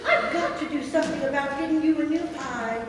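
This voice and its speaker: A woman's voice: high voice, fairly quick, natural voice. A woman playing a sly, vague and stubborn elderly mother, airy and contrary, in a stage comedy. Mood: airily sly. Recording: echoey hall from far off, faint noise.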